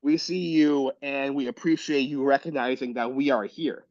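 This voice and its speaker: A sick man with a raspy voice, reading a letter aloud and talking in a casual group discussion with many restarts. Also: mid-range voice, fairly even intonation, normal speed, darkish tone, no echo, lo-fi sound. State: sick, coughing.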